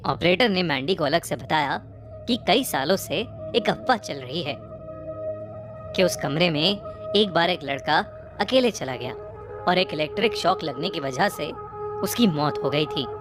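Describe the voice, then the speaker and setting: A woman narrating a scripted horror story in a measured, suspenseful way, phrase by phrase. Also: lowish voice, very animated. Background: prominent music bed.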